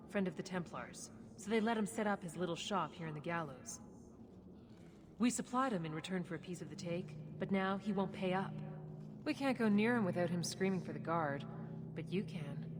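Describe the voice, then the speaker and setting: An actress playing a shrewd, composed smuggler boss in a video game, speaking in a measured, wry, businesslike way. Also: female voice, on the low side, noisy background, natural voice. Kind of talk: video game dialogue acting. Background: music.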